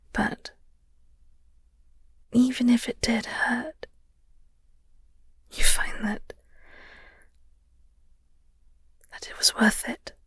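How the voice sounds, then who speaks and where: A woman playing a flirty, teasing vampire nemesis who secretly cares, in an intimate audio roleplay, speaking softly and half-whispered with wry, elegant phrasing. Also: mid-range voice, dry recording, studio quality, full character voice. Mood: tender, consoling. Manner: breathy, soft, slow, half-whispered delivery.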